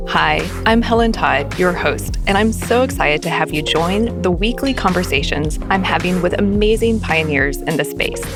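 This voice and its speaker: A woman hosting a podcast, enthusiastic and upbeat as she introduces her guest. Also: lowish voice. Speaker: podcast host. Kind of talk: scripted intro then casual interview.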